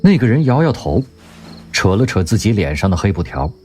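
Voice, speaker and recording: A man narrating an audio drama in an expressive storytelling manner. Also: lowish voice, brisk, faint music behind.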